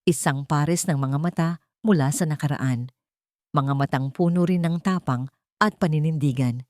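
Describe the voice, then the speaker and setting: A woman's voice: sits low, moderate pace, darkish tone, dry recording, studio quality. A woman narrating a scripted romantic drama as an audio storyteller.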